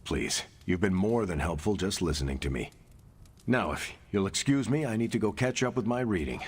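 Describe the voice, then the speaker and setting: A man's voice: low voice, expressive, normal speed, breathy edge. A man voicing an easygoing, sincere superhero in a video game, chatting casually with a wry touch. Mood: friendly, wry. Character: easygoing, friendly male superhero, sincere and jokey. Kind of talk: video game cutscene acting.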